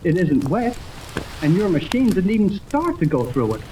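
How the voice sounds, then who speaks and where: An eager young American man with swooping, animated pitch and boyish insistence. He performs in the earnest manner of a 1930s adventure serial, on an old recording full of crackle and hiss. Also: normal speed. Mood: urgent. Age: young adult. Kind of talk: 1930s radio serial acting.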